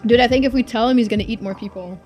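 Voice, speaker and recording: A woman's voice: on the high side, racing, darkish tone, soft background music. A woman game streamer reading a visual novel aloud, switching into playful, chatty live commentary.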